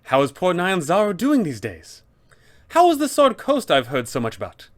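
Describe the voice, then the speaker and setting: A man's voice: high voice, huge pitch swings, moderate pace, pitched above the performer's own voice. A man voicing an officious, polite, fussy undead tomb custodian with formal announcer phrasing, as a tabletop villain. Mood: delighted, curious.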